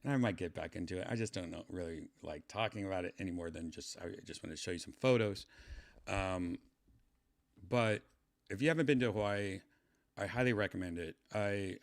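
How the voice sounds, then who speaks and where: A man in his fifties with a lowish voice talks loosely to his livestream chat. He meanders, trails off mid-thought and restarts his sentences, filling the gaps with ums and uhs. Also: moderate pace. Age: 50s. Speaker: livestream host. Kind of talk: rambling casual livestream chat.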